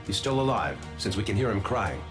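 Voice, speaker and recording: A military pilot gives grim updates in a game cutscene. His voice is fairly low and dryly matter-of-fact, with clear music behind him. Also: big pitch swings, fairly quick. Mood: dry, resigned. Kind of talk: scripted cutscene dialogue.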